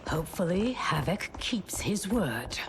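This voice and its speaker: An actress playing a devoted, proud warrior empress with earnest, formal delivery in a video game. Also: female voice, fairly low pitch, big pitch swings, measured pace, background noise. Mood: weary, grim. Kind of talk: dramatic video game acting. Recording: loud cinematic music behind.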